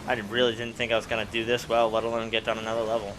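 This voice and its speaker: A man who is sick with a raspy voice, playing a car combat game and rambling through casual commentary with lots of fillers. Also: on the low side, racing, background noise. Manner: rambling, excitable, many fillers. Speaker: let's play gamer. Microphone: desk microphone at home.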